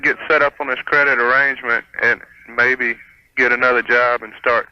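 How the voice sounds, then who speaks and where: A man's voice: medium pitch, level tone, normal speed, clean tone. A man, calling a radio show and talking casually in a hesitant, sheepish way. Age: 20s. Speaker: caller. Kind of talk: casual phone conversation. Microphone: telephone. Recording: dull lo-fi phone call on radio.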